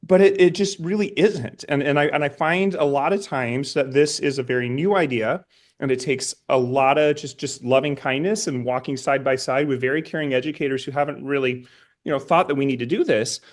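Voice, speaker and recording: A man's voice: mid-range voice, fairly even intonation, a little fast. A middle-aged man interviewing conversationally, feeling his way toward questions with hesitant, roundabout phrasing. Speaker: interviewer, educator.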